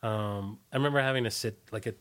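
A man speaking as an interview guest, casually recollecting in a loose, halting way and often trailing off. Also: lowish voice, fairly bright tone.

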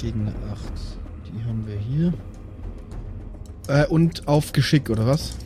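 A man's voice: medium pitch, measured pace, warm tone, soft background music. A man playing a tabletop game, talking casually and informally in slang.

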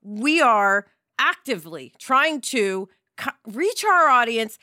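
A woman hosts a podcast in a high-pitched, bright voice. She talks casually and with emphasis, often tagging on a question to check in. The recording is dry. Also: expressive, slow, dry recording. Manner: emphatic, conversational, asks "right?" often. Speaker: podcast host; television actress.

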